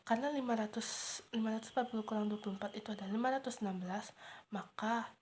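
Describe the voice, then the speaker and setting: A teenage girl working methodically through math problems step by step, in a soft, half-whispered way. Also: fairly high pitch, fast. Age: teens. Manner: steady, methodical, working through steps aloud. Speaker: student explaining homework. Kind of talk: math walkthrough.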